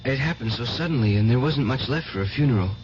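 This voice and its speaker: A man's voice: mid-range voice, lively intonation, fast, a little mellow, lightly acted. An actor playing a pious, naive young man, earnest and formal with a hesitant manner, in a radio drama. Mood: earnest, meek. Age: young adult. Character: pious, meek, naive orphaned young nephew. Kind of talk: 1970s radio drama acting. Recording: noisy, muffled 1970s radio broadcast.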